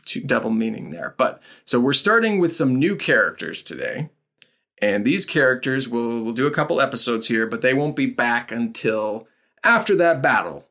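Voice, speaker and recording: A woman's voice: very deep voice, very animated, normal speed, fairly bright tone. A woman hosting a tabletop game podcast, casually introducing the players and the game. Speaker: host and game master of an actual-play podcast.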